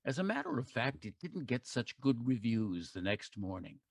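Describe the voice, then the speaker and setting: Actor as a lively, folksy radio narrator man. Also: mid-range voice, moderate pace, gravelly, no echo. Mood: wry, earnest. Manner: conversational, wry, emphatic. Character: folksy, plainspoken patriotic male narrator addressing listeners. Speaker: narrator and host of an audio play. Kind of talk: scripted radio-play narration.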